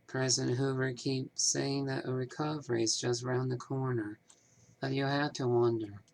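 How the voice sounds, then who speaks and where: A man voicing a polite, businesslike 1930s small-town banker from a mystery game, with measured, formal phrasing. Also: mid-range voice, flat delivery, vocal fry, a little mellow, natural voice. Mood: concerned. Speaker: amateur voice actor. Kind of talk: scripted game character dialogue.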